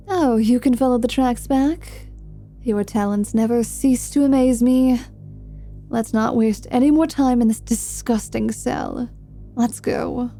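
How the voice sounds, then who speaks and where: Female fantasy adventuress played by a woman, ASMR roleplay: higher-pitched, soft-toned, feisty, foul-mouthed and sardonic. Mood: wary, teasing.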